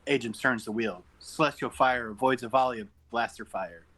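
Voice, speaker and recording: A man's voice: medium pitch, normal speed, fairly bright tone. A man reading stage directions as narrator at an amateur table read over an online call. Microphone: group online call.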